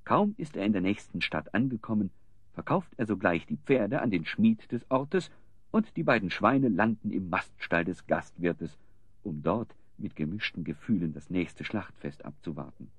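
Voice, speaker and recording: A man narrating a radio play in a wry, measured storytelling style with a husky voice. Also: deep voice, animated, no echo.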